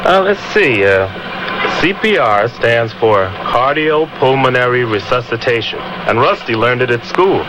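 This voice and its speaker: A man's voice: medium pitch, expressive, measured pace, lightly acted. An actor playing a grateful, folksy father in a conversational public service spot. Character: grateful, folksy father who survived a heart emergency. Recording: noisy old radio spot.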